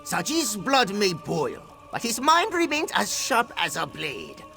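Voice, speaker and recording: A man's voice: sits high, huge pitch swings, unhurried, vocal fry, sharp tone, soft background music, heavily acted. A man voicing a boastful, theatrical cat-man pirate captain in a video game, honorable and given to speaking of himself in the third person. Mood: earnest, determined.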